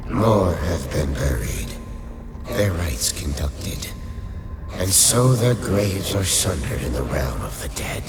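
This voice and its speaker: A stern undead lich, commands in a very low voice that swings widely in pitch. The delivery is terse and imperious, in the manner of a dark fantasy radio play, with music clearly present behind it. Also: male voice, very animated, slow, full character voice. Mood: grave, instructive. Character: commanding undead lich speaking into a vampire's mind.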